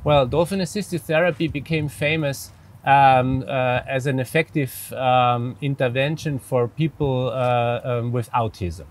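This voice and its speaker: A man's voice: mid-range voice, a little slow. A man from Austria, a native German speaker with an Austrian accent, gives explanatory interview answers hesitantly, searching carefully for words. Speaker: interview guest, psychologist and dolphin therapist.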